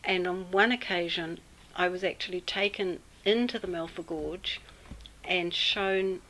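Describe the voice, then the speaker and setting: A woman with a New Zealand accent telling a personal story in an interview, unhurried and full of pauses. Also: on the low side, steady tone, fairly bright tone, slight background noise, rough recording. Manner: unhurried, with many fillers and pauses. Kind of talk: casual storytelling.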